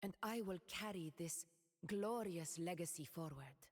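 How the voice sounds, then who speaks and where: Proud noble ruler in a game, solemn, measured, slow-spoken, echoing hall. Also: female voice, lowish voice, lively intonation, darkish tone. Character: proud noble woman ruler, steadfast warrior. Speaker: game character voice lines. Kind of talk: game voice lines.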